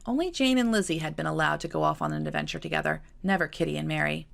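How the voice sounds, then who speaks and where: A woman's voice: sits low, big pitch swings, fast talker, gravelly, fairly bright tone. A woman reading her own novel as scripted audiobook narration.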